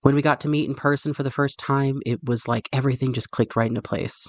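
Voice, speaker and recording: A man's voice: mid-range voice, steady tone, fast, dry recording. Man giving a prepared personal testimonial. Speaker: listener sending a voice message.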